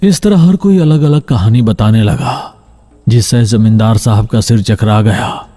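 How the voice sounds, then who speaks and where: Dark-voiced male horror story narrator. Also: on the low side, unhurried.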